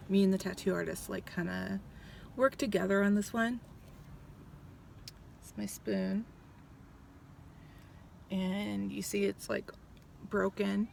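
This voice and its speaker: A woman's voice: mid-range voice, gravelly, slight background noise. A woman in her thirties chatting casually in a vlog, blunt and swearing freely, with lots of ums, recorded outside a doctor's office. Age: 30s. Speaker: vlogger. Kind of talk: casual rambling vlog chat.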